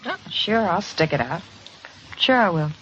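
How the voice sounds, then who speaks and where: Brash, animated frontier wife. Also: female voice, on the low side, a little slow. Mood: flirtatious, restless. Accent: American. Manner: brash, folksy, evasive. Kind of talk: old-time radio western acting. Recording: dull old radio recording, faint noise.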